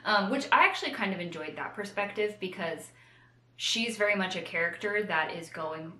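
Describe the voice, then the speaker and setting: Bright-voiced woman chatting casually, roomy echo. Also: mid-range voice, normal speed. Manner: animated, self-deprecating, rambling asides with acted-out quotes. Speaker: co-host of a book discussion show. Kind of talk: casual unscripted book chat.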